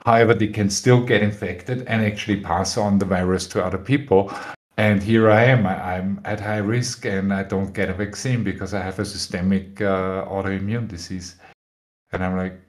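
A man's voice: sits low, fairly even intonation, moderate pace. A young adult man from Austria, talking frankly as a guest on a podcast and often searching for his words. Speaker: guest musician. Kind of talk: casual podcast interview.

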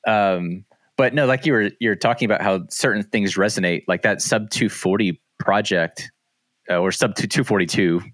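A man's voice: fairly low pitch, normal speed, fairly bright tone, no echo. A man hosting a podcast, talking casually and enthusiastically about a race he ran with his guest. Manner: enthusiastic, fired-up recollection. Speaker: podcast host.

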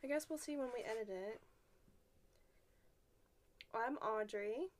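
A woman's voice: a little high, unhurried, a little hiss or hum. A young adult woman co-hosting a casual podcast while sick, joking and poking fun at herself. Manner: joking, self-mocking. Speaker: podcast co-host. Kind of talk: casual chat.

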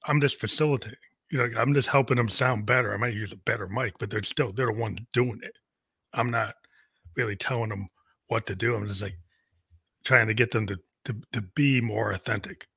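A man's voice: fairly low pitch, fairly even intonation, brisk, vocal fry, fairly bright tone, breathy edge, no echo. A man hosting an interview as a music producer, talking in an earnest, reflective way. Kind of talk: casual interview chat.

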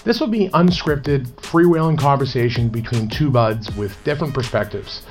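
A man reading a scripted podcast intro in a measured, relaxed way. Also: medium pitch, soft background music.

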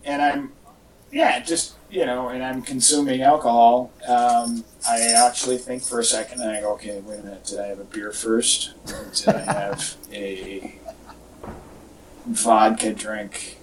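A man's voice: medium pitch, fairly even intonation, unhurried, background noise. A man hosting a podcast in casual, rambling chat with a wry, joking manner. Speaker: podcast host. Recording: distant mic, echoey in parts.

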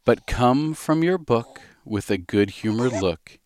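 A man reading as a kindly, contented little bird, in a lilting, measured storybook rhyme. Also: medium pitch, lively intonation, darkish tone, dry recording. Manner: lilting, measured rhyming recital.

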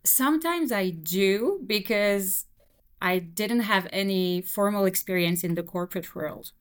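A young woman whose first language is French, talking relaxed and casually as an interview guest, with many fillers. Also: mid-range voice, a little slow, smooth. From Belgium. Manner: relaxed, frequent fillers and "you know". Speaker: guest translator.

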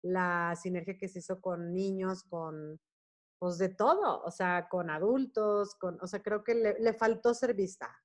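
A Mexican woman in her forties speaking casually as an interview guest, telling stories in an animated way and acting out bits of dialogue. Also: medium pitch, a little slow. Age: 40s. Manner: animated storytelling, often acting out quoted dialogue. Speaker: guest performer, singer and actress.

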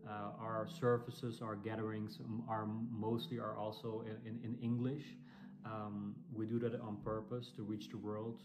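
A man from the Netherlands with a Dutch accent, a ministry founder introducing himself to camera, speaking haltingly with many fillers and restarts. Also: fairly low pitch, flat delivery.

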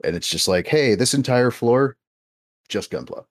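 A man's voice: medium pitch, expressive, normal speed, dry recording, clean studio sound. An American man chatting casually on a podcast about model kits, rambling with lots of fillers and restarts. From United States. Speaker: podcast co-host. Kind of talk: casual chat.

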